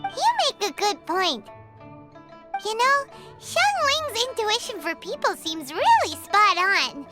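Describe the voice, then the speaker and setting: A tiny excitable fairy girl for a cartoonish video game. The voice is extremely high and squeaky, swooping wildly as it chirps along over music. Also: slow, full character voice. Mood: chatty, curious. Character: tiny excitable childlike fairy companion, female.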